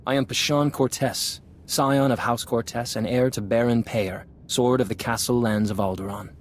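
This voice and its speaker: A man's voice: medium pitch, steady tone, normal speed, lightly acted. Guy doing a proud noble heir out for revenge, video game, stiff formal accusing speech, bit of background noise. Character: vengeful proud young nobleman, heir of a ruined house.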